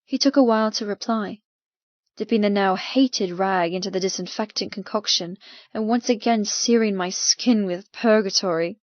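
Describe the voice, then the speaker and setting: A woman narrating first-person fiction as an audiobook, in a measured, dramatic manner. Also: mid-range voice, no room sound, lo-fi sound.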